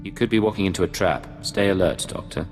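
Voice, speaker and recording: An actor playing a cool, guarded imperial intelligence agent in a video game, speaking in a terse, composed way. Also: male voice, sits low, fast, in a large hall, faint music behind, natural voice. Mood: watchful. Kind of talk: video game dialogue acting.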